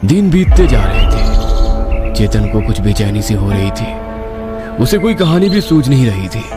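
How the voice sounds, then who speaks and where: A male storyteller narrates a scripted horror tale. His voice is fairly low with lively, wide-moving intonation. Music is clearly heard behind him. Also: measured pace.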